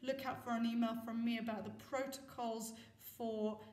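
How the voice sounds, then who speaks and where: A woman's voice: fairly high pitch, little pitch movement, moderate pace, a little mellow. A middle-aged woman, a deputy head, giving a prepared school assembly address to camera. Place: small office indoors with bare walls. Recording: echoey room, slightly distant mic.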